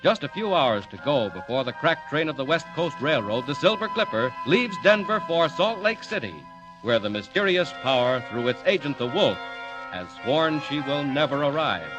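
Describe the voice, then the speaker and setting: A man's voice: a little high, big pitch swings, moderate pace, dull audio. A man narrating as announcer in a dramatic, scripted 1940s radio serial style. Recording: vintage radio broadcast, music behind.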